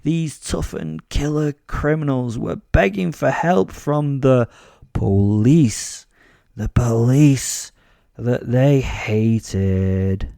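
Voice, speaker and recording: Man playing a gravelly, sadistic British werewolf killer. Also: medium pitch, animated, extremely slow, warm tone, dry recording, clean studio sound, heavily acted. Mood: wry, savouring. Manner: sweary, darkly comic, savouring gore. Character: gleefully sadistic male werewolf serial killer, sardonic and unhinged. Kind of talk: first-person horror audio drama narration.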